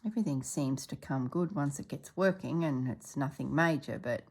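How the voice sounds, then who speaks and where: A middle-aged woman from Australia chatting casually as she stitches, a little congested and clearing her throat, sometimes dropping to soft murmurs. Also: extremely low pitch, moderate pace. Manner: chatty, relaxed, drops into soft murmurs while working. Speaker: stitching vlogger. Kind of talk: casual chat while stitching.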